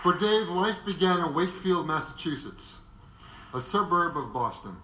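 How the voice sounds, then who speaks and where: A man's voice: fairly high pitch, expressive, normal speed, very noisy. A man presenting a semi-scripted biographical narration in a conversational way, with wry asides. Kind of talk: semi-scripted narration before a live audience. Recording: echoey live room.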